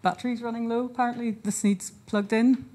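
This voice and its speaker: A woman giving an earnest, informal conference talk in a hotel conference room, often pausing and restarting her sentences. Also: on the high side, fairly even intonation, dark tone. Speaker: conference speaker and seed charity founder. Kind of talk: conference presentation.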